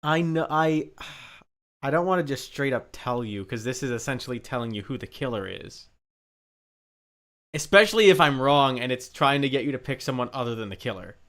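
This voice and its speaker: A man's voice: mid-range voice, lively intonation, normal speed, fairly bright tone. A man chatting casually as he streams a game, swearing now and then. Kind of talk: casual gaming commentary and chat. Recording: game music behind the voice.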